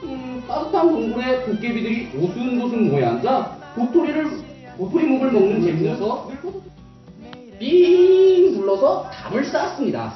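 A woman telling a fairy tale to children as an animated read-aloud narrator. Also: on the high side, normal speed, recorded from a distance, faint music behind. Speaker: children's storyteller. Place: echoey hall at a book concert. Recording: dull, very echoey hall from afar.

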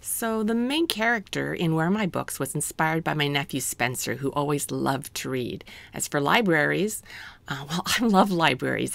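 A woman's voice: sits low, creaky, no room sound. A middle-aged woman, an author-illustrator, talks casually to young children in simple, encouraging explanations.

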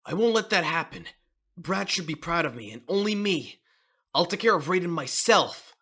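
An eager young henchwoman as a fan performer puts her on speaks high and sharp. She is proud and insistent, a devoted underling in a manga drama. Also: expressive, normal speed, breathy edge, exaggerated voice. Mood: proud, determined.

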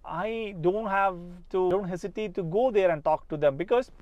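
A man's voice: high-pitched, normal speed, darkish tone, dry recording, lo-fi sound. A man in his thirties from Kerala with a Malayali English accent, a spoken English coach giving an earnest talk straight to the viewer and often restarting his phrases. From Kerala, India. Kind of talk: casual motivational talk to camera. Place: inside a parked car. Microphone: lapel mic.

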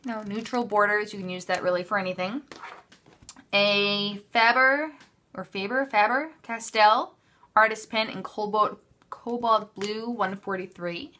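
A woman with a bright, forward voice at an average pitch. She talks casually, as in an unscripted show-and-tell. Her delivery is chatty and offhand, and she often breaks into her own sentences. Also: a little slow. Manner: chatty, self-interrupting, offhand. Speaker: craft haul vlogger. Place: indoors at a craft table.

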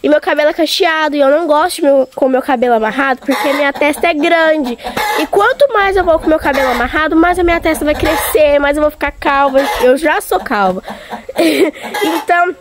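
A young woman, hoarse from a cold, chatting casually and directly to her viewers while walking around a farm outdoors. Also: high-pitched, fairly quick, noisy background. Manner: chatty, talking straight to viewers. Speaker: vlogger. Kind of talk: casual vlog chat.

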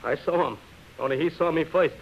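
A man's voice: on the high side, a little fast, natural voice. A man acting a blunt, exasperated, streetwise theatrical agent in a 1930s radio drama. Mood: scathing. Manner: emphatic, streetwise, colloquial. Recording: muffled, noisy vintage radio recording.

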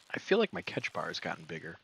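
A young man giving relaxed, joking commentary while playing a game, with a soft, soothing voice and mumbled asides. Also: low voice, vocal fry, a little hiss or hum. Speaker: gaming streamer. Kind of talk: casual gameplay commentary.

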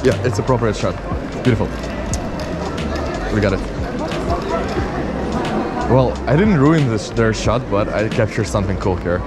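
A man vlogging a night photo walk, excitedly directing a model and remarking on the sights. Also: fairly low pitch, measured pace, long pauses, loud music behind the voice, a loud crowd. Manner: enthusiastic, exclaiming, directing a model. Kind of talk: casual POV photo walk chatter. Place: shopping arcade then city streets at night.

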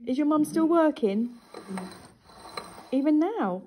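A woman ghost hunter, coaxing a spirit in a dark church at night and repeating her questions. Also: high voice, lively intonation, slow pace, a little hiss or hum. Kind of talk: calling out to a spirit.

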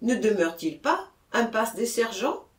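A man's voice: sits high, expressive, normal speed, roomy sound, voice pushed up. A man doing a jovial, breezy country doctor. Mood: brisk. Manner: teasing, casually questioning.

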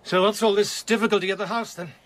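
A man's voice: high-pitched, steady tone, quick pace, fairly bright tone, a little hiss or hum. An actor playing a guarded, curt man back from war, in a radio drama. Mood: dry, reserved. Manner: curt, suspicious. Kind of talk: British radio drama acting.

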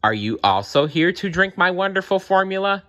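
A man's voice: high voice, big pitch swings, moderate pace, sharp tone, highs cut off, pitched above the performer's own voice, exaggerated voice. Man playing a sneering, icy mad scientist villain. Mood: gloating, mocking. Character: nasty cold male mad scientist, storybook villain.

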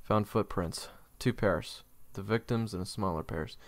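Man as grim adventurer-narrator, very low gravelly voice, deliberate, fantasy audio drama. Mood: grim, wary. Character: grim, watchful male fantasy adventurer telling his tale. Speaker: narrator and character. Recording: music playing behind. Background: background music.

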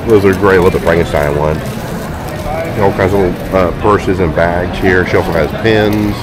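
A man's voice: low voice, fairly even intonation, normal speed. A man giving a chatty, enthusiastic walkthrough of a convention hall, filmed on a handheld camera. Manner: enthusiastic, chatty, plenty of fillers. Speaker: vlogger touring a convention. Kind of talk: casual walkthrough commentary. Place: large indoor convention hall. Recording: crowded hall background noise. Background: crowd chatter.

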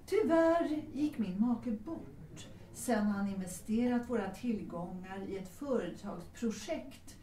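An actress playing a proud, unsentimental middle-aged widow of good family in a period audio drama, speaking formally. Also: female voice, mid-range voice, lively intonation, mellow, a little hiss or hum, lightly acted. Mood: matter-of-fact. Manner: formal, dignified, matter-of-fact. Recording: roomy, distant microphone.

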